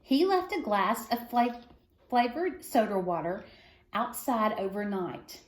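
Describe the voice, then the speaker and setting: A woman's voice: mid-range voice, lively intonation, slow pace, roomy sound. A woman reading a children's story aloud for schoolchildren, phrasing expressively and stressing key words. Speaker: reader of a children's book.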